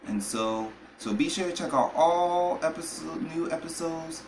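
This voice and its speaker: A man's voice: on the high side, lively intonation, measured pace. A man in his thirties from New York, hosting a solo podcast and talking in a rambling way with many repeated filler phrases. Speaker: podcast host. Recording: echoey room, faint background noise.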